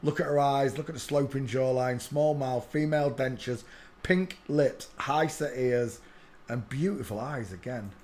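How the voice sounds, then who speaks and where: A man with a middle-pitched voice talks over video clips in a brash, mocking way. He throws in quick offhand asides and sounds casual and unscripted throughout. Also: a little mellow. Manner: brash, scoffing, jumps between asides and quick remarks. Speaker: channel host commenting on clips.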